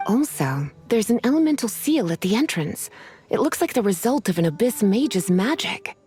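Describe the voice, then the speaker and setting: A woman voicing a sultry, teasing librarian who speaks in a languid, gently threatening way, in a video game with music behind. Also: mid-range voice, big pitch swings, moderate pace, husky. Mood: calm.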